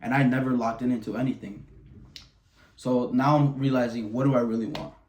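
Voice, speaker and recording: A man's voice: medium pitch, steady tone, brisk, darkish tone, low-quality recording. A man in his early twenties from Wisconsin, talking bluntly and candidly to camera, swearing often and restarting his sentences. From Wisconsin, USA. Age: 20s. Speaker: vlogger. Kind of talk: candid personal monologue.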